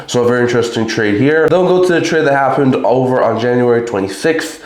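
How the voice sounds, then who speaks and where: A man's voice: mid-range voice, a little mellow. Chatty man with a slight cold, echoey room. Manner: chatty, talks in loose run-on sentences with fillers. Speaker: hockey channel host. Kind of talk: casual solo hockey trade recap.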